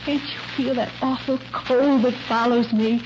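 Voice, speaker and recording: A woman's voice: a little high, fairly even intonation, measured pace, natural voice. An actress playing a fragile young woman who fears for her sanity, anguished and pleading, in a 1940s radio drama style. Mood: fearful, haunted. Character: frightened, fragile young woman fearing madness. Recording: noisy, muffled old radio recording.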